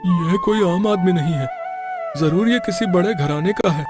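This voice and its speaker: A man's voice: high-pitched, normal speed, lightly acted. A man playing a plain-spoken, chatty village oil-presser in a Hindi cartoon moral story. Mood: pondering. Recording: music behind the voice.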